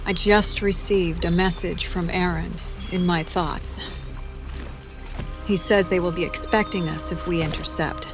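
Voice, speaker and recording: Woman acting an earnest, lower-voiced female warrior. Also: fairly even intonation, a little slow, music in the background. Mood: concerned. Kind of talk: audio drama acting.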